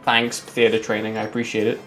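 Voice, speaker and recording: A man with a loud, booming voice doing casual speedrun commentary, rambling with lots of fillers, his mic at forearm's length. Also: monotone, brisk, soft background music, poor audio. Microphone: desk mic at forearm's length.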